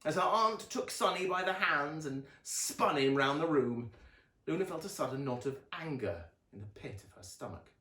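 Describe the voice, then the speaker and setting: A man reading children's fiction aloud as a dramatic, expressive narrator with a deliberate delivery. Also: fairly high pitch, roomy sound.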